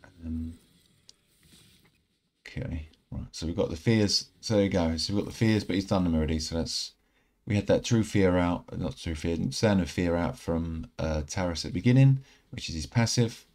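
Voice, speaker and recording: A man in his thirties giving casual, rambling commentary over mobile game footage, a little croaky from a night out. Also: deep voice, normal speed. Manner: relaxed, rambling, self-correcting. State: slightly croaky from singing. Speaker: gaming channel host. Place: indoor room at home.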